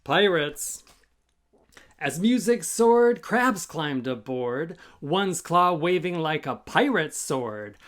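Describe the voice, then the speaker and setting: A man's voice: on the high side, lively intonation, slow, fairly bright tone. A man reading a children's story theatrically and rhythmically, as an author leading a storytime with chanting and audience participation. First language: English.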